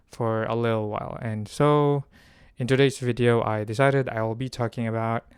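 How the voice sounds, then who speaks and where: Clear-voiced young man rambling to camera. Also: medium pitch, no room sound, clean studio sound. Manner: rambling, many fillers and restarts. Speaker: language-learning vlogger. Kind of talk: unscripted stream-of-thought talk to camera.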